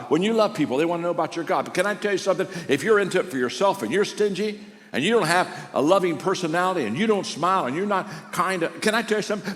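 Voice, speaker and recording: Older pastor preaching in a big hall. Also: male voice, high-pitched. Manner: storytelling, warm and conversational with frequent restarts. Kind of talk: sermon. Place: large church auditorium. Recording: long reverb of a large hall.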